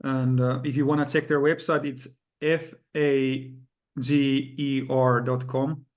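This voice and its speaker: A man from Finland hosting an interview over a video call, speaking haltingly with many fillers. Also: mid-range voice, level tone. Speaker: host.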